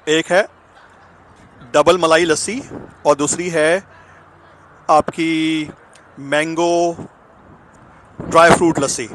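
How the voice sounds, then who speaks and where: A man's voice: fairly high pitch, little pitch movement, slow pace, long pauses, fairly bright tone, poor audio. A man in his thirties casually reviewing food at an outdoor food truck park, savoring each bite and mixing Hindi with English, filmed on a handheld phone. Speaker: food vlogger. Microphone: handheld phone camera. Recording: outdoor street noise. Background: outdoor traffic and street ambience.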